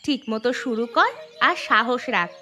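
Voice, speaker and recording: A woman's voice: on the high side, sing-song, normal speed, pushed and bright, soft background music. A woman voicing an encouraging cartoon village woman, persuasive and reassuring in her dialogue. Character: encouraging village woman planning a fish-fry business.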